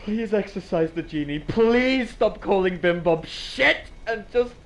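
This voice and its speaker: Man playing creaky, plaintive, long-suffering cartoon character. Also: high-pitched, animated, a little slow. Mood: pleading. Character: long-suffering, pleading, exasperated comic character.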